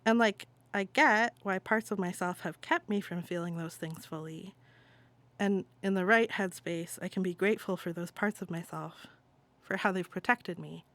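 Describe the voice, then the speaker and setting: A woman's voice: fairly low pitch, moderate pace, a bit bright. A woman playing a wistful adult who confides in a cousin in an introspective, informal monologue. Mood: wistful, frustrated.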